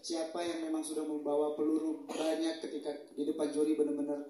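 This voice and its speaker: A man's voice: on the high side, monotone, quick pace, warm tone, distant mic. A young man answering as a talent show judge, earnest, with frequent hesitations. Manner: earnest, fillers of "eh". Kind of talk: casual interview answers. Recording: echoey hall, voice from afar.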